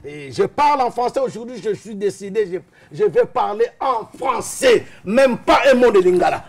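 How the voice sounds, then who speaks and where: Guy from liège rambling with lots of ums, voice sits a bit high. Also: expressive, normal speed, a loud crowd. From Liège, Belgium. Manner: rambling, hesitant with many fillers and restarts. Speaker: guest. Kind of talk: casual interview chat.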